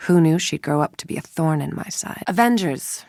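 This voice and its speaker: A woman's voice: low voice, animated, brisk, darkish tone, no room sound, clean studio sound. An actress playing a cold, calculating female villain scientist in a video game, speaking with clipped, precise authority. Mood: dry contempt. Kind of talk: dramatic video game voice acting.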